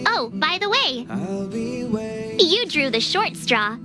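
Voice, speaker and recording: A young adventurer girl in a video game. Her voice is high and swings in pitch as she chatters casually and brightly over music. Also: big pitch swings, slow, heavily acted. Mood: perky. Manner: bubbly, casual. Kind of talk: video game character acting.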